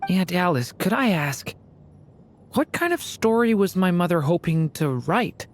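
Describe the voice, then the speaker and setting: A woman voicing a gentle, earnest, unsure young boy in a video game, soft, hesitant and polite. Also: on the low side, big pitch swings, unhurried.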